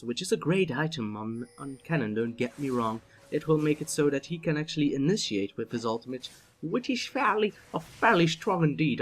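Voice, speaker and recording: A young man doing solo play-by-play commentary on a video game match, chatty and playful, rising to excited shouts on big plays. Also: mid-range voice.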